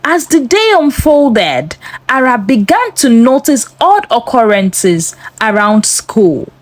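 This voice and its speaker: A female narrator reads a suspenseful folktale with a dramatic delivery. Her voice sits a little high and swings expressively up and down. She takes her time over each phrase, keeping a slow, measured pace. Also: big pitch swings, no echo. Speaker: storyteller narrator.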